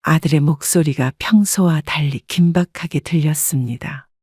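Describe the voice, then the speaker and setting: Calm woman narrating in her seventies. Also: deep voice, normal speed, breathy edge, no echo, studio quality. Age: 70s. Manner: calm, reflective. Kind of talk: scripted first-person story narration.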